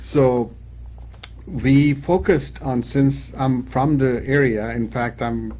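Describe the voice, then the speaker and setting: A man's voice: mid-range voice, slow. Hesitant middle-aged man, slightly dull audio. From Pakistan, raised in Saudi Arabia. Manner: hesitant, many fillers and restarts. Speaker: company founder, guest. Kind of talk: casual interview answers.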